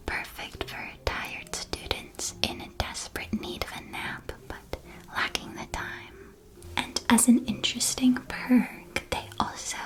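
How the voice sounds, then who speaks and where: Whispered ASMR, woman acting as a friendly female magic candy seller, breathy, soft, slow gentle sales patter. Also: a little high. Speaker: ASMR roleplay performer.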